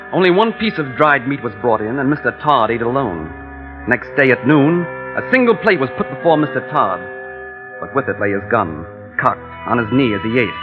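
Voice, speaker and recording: A man's voice: medium pitch, lightly acted. A man playing a desperate trapped traveler. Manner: earnest, pleading, rising to demands. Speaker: narrator and lead. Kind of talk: 1940s radio acting. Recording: dull old radio broadcast with music.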